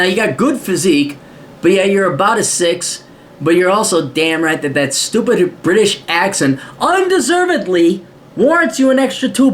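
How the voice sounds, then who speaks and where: A man's voice: on the high side, a little hiss or hum. A man with an American accent hosting a podcast and reading out and answering a listener's letter, talking bluntly with plenty of swearing. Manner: blunt, swears often, mocking voices for asides. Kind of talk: casual profane advice monologue.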